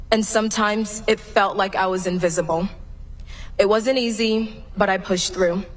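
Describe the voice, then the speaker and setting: Young woman giving an earnest graduation speech. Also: medium pitch. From Cameroon. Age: young adult. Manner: earnest, measured, read from a prepared text. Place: large graduation venue.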